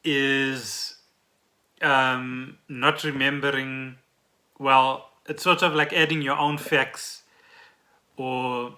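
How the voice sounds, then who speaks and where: A woman's voice: very deep voice, steady tone, drawn-out words, pauses often, bright, forceful tone. A woman chatting casually as a podcast co-host at home. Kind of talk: casual chat. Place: at home, indoors.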